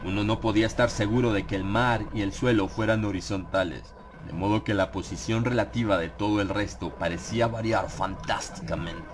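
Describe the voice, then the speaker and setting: Low-voiced man narrating horror. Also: fairly even intonation, a little fast, few pauses, noisy background. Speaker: audiobook narrator. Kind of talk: dramatized horror audiobook narration. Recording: clear ambient sound effects behind the voice. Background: atmospheric horror sound effects.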